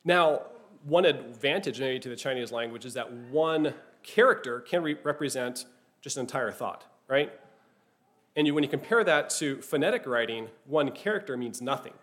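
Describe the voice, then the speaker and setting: A man's voice: mid-range voice, moderate pace, fairly bright tone. A man teaching a class, speaking thoughtfully, often restarting phrases and checking in with "right?". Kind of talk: classroom lecture.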